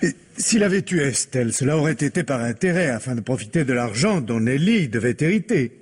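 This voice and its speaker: A distraught widower under suspicion in an old-fashioned radio mystery. His voice is medium in pitch and husky. He hesitates, pleads and protests defensively. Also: lively intonation, brisk. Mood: protesting. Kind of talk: 1950s French radio mystery acting.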